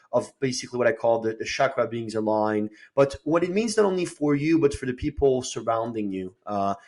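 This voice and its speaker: A man hosting a podcast in an ordinary mid-pitched voice. He speaks thoughtfully and pauses on fillers as he shapes his points. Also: darkish tone. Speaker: podcast host. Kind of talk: casual podcast conversation.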